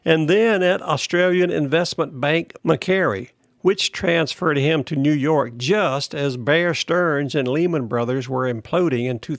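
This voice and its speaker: A man hosting a podcast, reading a news article aloud in a steady, plain delivery. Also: on the high side, no room sound, studio quality. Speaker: podcast host.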